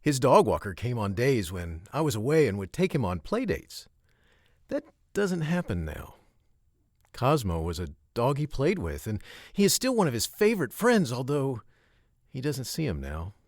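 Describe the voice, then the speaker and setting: A man narrating a children's story from a script, with expressive, emphatic phrasing and short pauses. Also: mid-range voice, no echo, clean studio sound.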